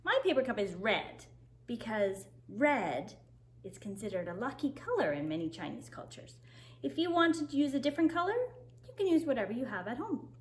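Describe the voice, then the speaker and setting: A woman teacher speaking to kindergarteners, expressive and simply instructive, as in story time and craft lessons. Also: a little high, moderate pace. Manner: expressive, simple instructive phrasing for young children. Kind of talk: storybook read-aloud and craft instructions for children.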